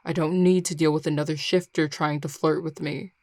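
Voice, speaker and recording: A woman's voice: low-pitched, fairly even intonation, fairly quick, darkish tone, no room sound, close to an everyday voice. A woman playing a grumpy young male coworker in an audio roleplay, curt and sarcastic with exasperated delivery. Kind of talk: scripted audio roleplay acting.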